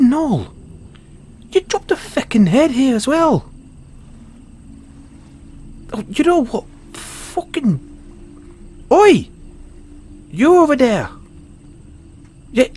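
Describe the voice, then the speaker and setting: A man's voice: very high-pitched, darkish tone, full character voice. Man playing cocky British Dullahan, wildly swooping voice. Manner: stammering, sweary, boastful. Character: cocky, foul-mouthed male Dullahan wannabe social media star. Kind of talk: comedic audio roleplay.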